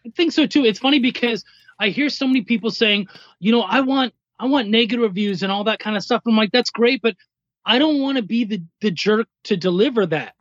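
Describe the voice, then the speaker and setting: A man from Canada with a notably high voice, heard over a dull, dry call-in line. He talks casually and chattily as an interview guest, informal and a touch self-effacing. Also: fairly quick, a bit bright, no echo. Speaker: podcast guest, comic writer and editor. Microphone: remote call-in.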